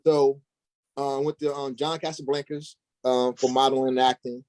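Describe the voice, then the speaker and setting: A man's voice: medium pitch, level tone, normal speed, darkish tone, rough recording. A middle-aged man being interviewed as an actor, telling personal stories in an informal, slangy way. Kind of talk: casual personal storytelling.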